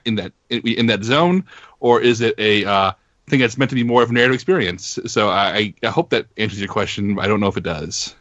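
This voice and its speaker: A man's voice: mid-range voice, fairly bright tone, dry recording. A man on a podcast panel in casual discussion, stammering and repeating words as he forms his thoughts. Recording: dry, slightly dull lo-fi recording.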